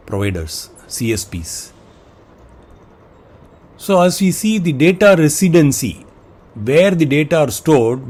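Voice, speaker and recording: A man giving an explanatory presentation, speaking in halting phrases and listing items one by one. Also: mid-range voice, a little mellow. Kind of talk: explanatory slide presentation, semi-improvised.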